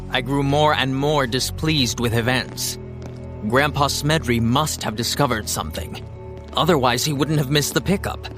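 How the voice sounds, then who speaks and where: Casting: male actor as self-mocking young hero narrator, medium-pitched, confiding scripted delivery with light underscore. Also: close to an everyday voice. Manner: wry, confiding. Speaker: first-person narrator.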